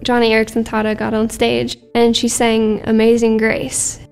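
Creaky, subdued-toned woman giving testimony. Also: fairly high pitch, monotone, normal speed. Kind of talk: personal testimony. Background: instrumental music.

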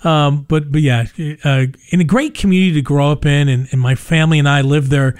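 A middle-aged man with a softened New York accent, telling stories in an animated, casual conversational way. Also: fairly high pitch, brisk, clean tone. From New York, United States. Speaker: ministry leader telling its story. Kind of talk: casual radio interview conversation.

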